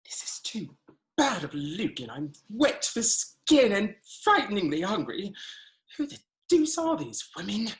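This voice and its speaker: Woman as a slow, grumbling Victorian diner, echoey. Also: mid-range voice, sing-song. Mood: cross, miserable. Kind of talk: comic Victorian stage farce reading. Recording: roomy, distant online call audio.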